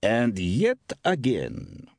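A man voicing a courteous, ponderous German professor in an audiobook, with a rasping German accent and formal, deliberate phrasing. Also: fairly low pitch, huge pitch swings, dry recording, studio quality, pitched above the performer's own voice, exaggerated voice. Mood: earnest, pondering. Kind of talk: audiobook character voice.

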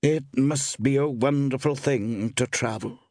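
An inquisitive, guarded village shopwoman, as a male narrator plays her. The voice is lifted well above his own and husky at the edges. She draws her words out deliberately and keeps a cool reserve. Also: on the high side, very animated, no room sound, clean studio sound, voice pushed up, full character voice. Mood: slightly warmer, curious.

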